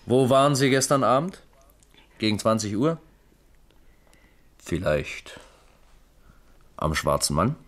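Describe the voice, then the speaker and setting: An actor playing a calm, formal police lieutenant who questions persistently in a German radio crime drama. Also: male voice, mid-range voice. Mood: probing, insistent. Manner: measured, probing, formal questioning.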